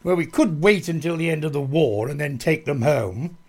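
A man's voice: fairly high pitch, expressive, normal speed, darkish tone. A man playing a ruthless, menacing army captain in a radio play, sneering and threatening. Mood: calculating. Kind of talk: radio drama acting.

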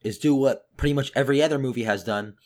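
Young guy talking fast on a podcast, sick, voice lower than normal. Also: darkish tone. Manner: quick, eager talker. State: sick, voice deeper than usual. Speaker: podcast co-host. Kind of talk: casual chat.

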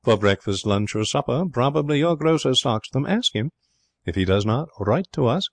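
A man's voice: medium pitch, expressive, no echo, pitched above the performer's own voice. A man voicing a big, earnest, devoted young outdoorsman in a storybook. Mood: earnest, eloquent. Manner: halting at first, eloquent reading aloud.

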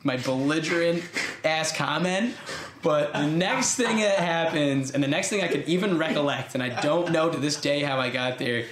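A man's voice: on the high side, normal speed, echoey room, a crowd in the background. An American man telling a long story in casual chat, laughing often and restarting his sentences. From United States. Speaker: podcast co-host telling a story.

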